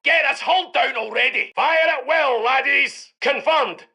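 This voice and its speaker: British heavy tank commander for a strategy game: gruff, commanding, gravelly, sharp and forceful barks. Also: male voice, extremely high pitch, a little slow, heavily acted. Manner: barking short orders forcefully. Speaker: game voice actor. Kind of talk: shouted military game barks.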